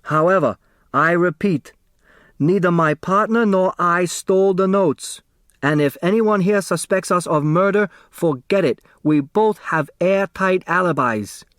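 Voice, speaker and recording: A man's voice: high voice, unhurried, fairly bright tone, dry recording, clean studio sound, pitched above the performer's own voice. A man voicing an indignant, blustering male restaurant owner in an audiobook, blunt and defensive. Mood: defensive, insistent.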